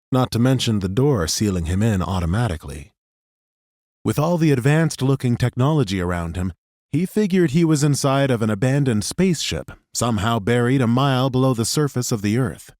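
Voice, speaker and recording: A man narrating a fantasy audiobook in a steady, measured storytelling manner, close to a studio microphone. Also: on the low side, no room sound.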